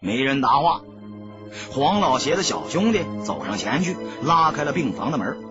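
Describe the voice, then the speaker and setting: A man narrating an audiobook as scripted dramatic storytelling, with an emphatic, theatrical delivery and drawn-out phrases. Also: medium pitch, big pitch swings, highs cut off, over music.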